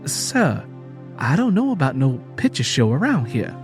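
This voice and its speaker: A man's voice: mid-range voice, huge pitch swings, moderate pace, faint music behind. A man playing a meek Southern grandmother. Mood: polite, guarded. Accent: American South. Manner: deferential, plain-spoken, cautious.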